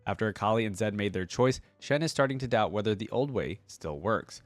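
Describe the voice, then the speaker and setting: A man hosting a reaction video, reading text aloud and commenting casually as he thinks out loud to his viewers. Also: lowish voice, lively intonation, fairly quick, dry recording.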